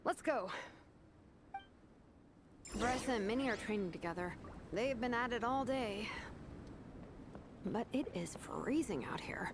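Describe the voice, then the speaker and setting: Chill sarcastic young officer girl in a game, highish, casual, lively intonation. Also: unhurried, pauses often, background noise. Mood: easygoing. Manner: casual, wry, scathing. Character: laid-back, dryly sardonic young female vice captain. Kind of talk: video game voice acting.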